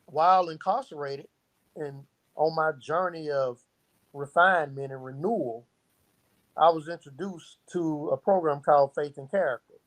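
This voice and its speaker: Higher-voiced middle-aged male guest. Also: moderate pace, rough recording. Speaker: interview guest, founder of a youth organisation. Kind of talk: casual reflective interview talk.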